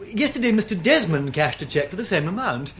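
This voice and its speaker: A man's voice: sits high, very animated, fast, a bit bright. A polite English bank cashier, deferential and ready to chat. His lightish, raised voice has plenty of movement. The radio drama recording carries faint noise. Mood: polite, puzzled. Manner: courteous, deferential. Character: polite, chatty, dutiful male bank cashier.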